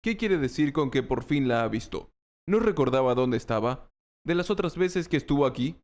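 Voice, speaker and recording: A man's voice: mid-range voice, lively intonation, moderate pace, a little mellow, lightly acted. A man playing a naive, earnest knight in an audiobook, questioning and puzzled. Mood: anxious, pleading. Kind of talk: audiobook character acting.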